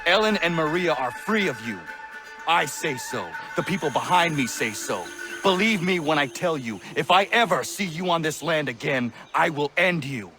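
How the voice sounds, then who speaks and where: A man playing an earnest, stern young protector in a video game cutscene, speaking in formal, measured wording. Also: on the high side, animated, music in the background. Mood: menacing.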